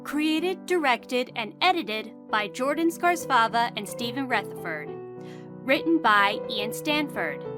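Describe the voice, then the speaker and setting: High-voiced woman narrating a storybook tale. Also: lively intonation, measured pace. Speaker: narrator of an audio drama. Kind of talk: scripted storybook narration and credits reading. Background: music.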